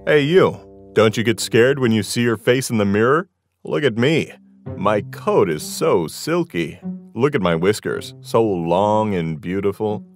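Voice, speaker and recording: A man's voice: lowish voice, expressive, a little slow. A man voicing a vain, mocking tomcat in a children's cartoon, boastful and preening. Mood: smug, mocking. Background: music.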